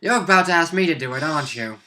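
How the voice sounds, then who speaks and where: A man with a slightly high, bright voice, chatting casually as a tabletop player. He sounds amused and agreeable, chipping in with his side of the game. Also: expressive, fast. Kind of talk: casual chat about a game session.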